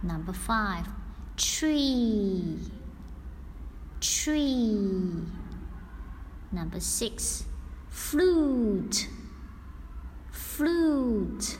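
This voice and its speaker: A woman reading out a scripted listening test in a deliberate, carefully enunciated dictation style. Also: fairly high pitch, very animated, a little mellow. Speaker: English listening test reader. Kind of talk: scripted exam narration, slow and repeated. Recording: long hall reverb, faint background noise.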